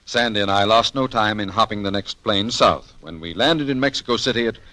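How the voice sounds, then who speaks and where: An urbane amateur sleuth in an old radio mystery. He is bright-voiced, polished and genial, an easygoing storyteller. The vintage recording carries music. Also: lowish voice, brisk, no echo. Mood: narrating. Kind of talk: 1940s radio detective acting and narration.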